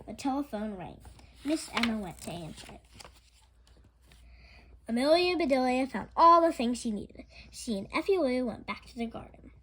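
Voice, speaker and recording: Animated woman reading a children's story. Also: high-pitched, moderate pace, slight background noise. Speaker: storytime reader. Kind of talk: expressive children's storybook narration.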